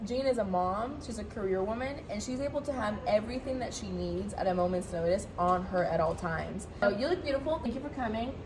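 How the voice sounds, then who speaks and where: A woman from Colombia, a brand co-founder, giving an energetic product pitch to camera in a warehouse. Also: brisk, few pauses, noisy background. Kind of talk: upbeat product pitch video.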